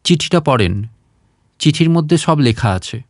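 A humble, earnest village man in an audio story, played by a male narrator. He explains things in plain rustic phrases with deference. His voice is fairly high and animated, heard very dry. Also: measured pace, no echo. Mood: deferential. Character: simple, earnest village man, patient's talkative companion.

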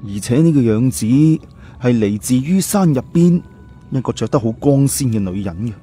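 Audiobook hero, acted by a male narrator: young man, analytical, short-tempered, insistent, soft-edged mid-pitched voice. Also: lively intonation, normal speed, faint music behind, lightly acted. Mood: insistent, reasoning. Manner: emphatic, explanatory, colloquial. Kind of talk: audiobook character dialogue.

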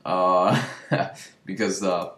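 Low-voiced young man in an echoey room. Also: fairly even intonation, extremely slow, fairly bright tone. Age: young adult. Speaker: horror movie review show host. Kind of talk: casual video review, chatty. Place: small room with shelves, indoors. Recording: roomy indoor echo.

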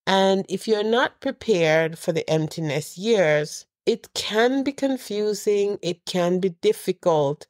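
A woman's voice: lowish voice, a little mellow, no room sound, studio quality. A middle-aged woman hosting her podcast alone, sounding a bit nasal from a cold, speaking earnestly and encouragingly to her listeners. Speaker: podcast host. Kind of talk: heartfelt solo address to listeners.

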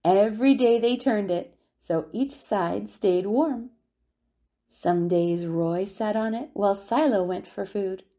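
A woman reading a children's picture book aloud as a storyteller, with expressive, deliberate delivery and drawn-out words. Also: mid-range voice. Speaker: children's storyteller at a family centre.

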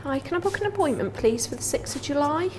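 Woman acting a higher-voiced, polite salon client. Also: animated, fast. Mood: persistent, put out. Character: adult female salon client, polite, persistent, matter-of-fact. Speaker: salon client in training role-play. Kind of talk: scripted training role-play. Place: small office, indoors. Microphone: clip-on lapel microphone.

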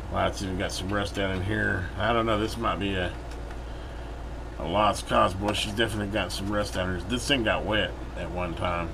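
Male, middle-aged, American, lowish voice. Folksy hands-on repair host, rambling as he works, clear background hum. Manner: folksy, rambling, thinking aloud. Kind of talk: casual hands-on repair commentary. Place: indoor workbench. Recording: steady room hum, air-conditioner-like noise.

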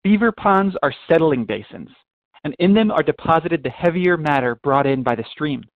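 A man's voice: fairly high pitch, animated, moderate pace, no room sound. A man reading a nature book aloud. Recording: dry, phone-like narrow sound.

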